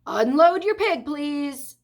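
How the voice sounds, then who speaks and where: A woman voicing a pompous, formal fairground loudspeaker announcer from a storybook, declaiming grandly. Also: sits high, expressive, slow pace, voice pushed up, heavily acted.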